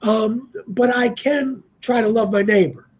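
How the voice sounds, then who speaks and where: Man in his sixties, husky voice, reflective, laid-back radio panel guest. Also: high-pitched, a little slow. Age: 60s. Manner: reflective, easygoing, calls listeners "man". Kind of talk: casual radio panel talk.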